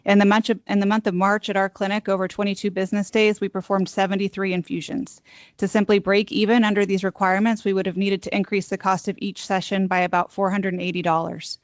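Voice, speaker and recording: A soft-voiced woman, a registered nurse, reading prepared public testimony in a firm, steady manner. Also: medium pitch, fast talker, dry recording, dull audio. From Texas. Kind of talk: prepared testimony read aloud.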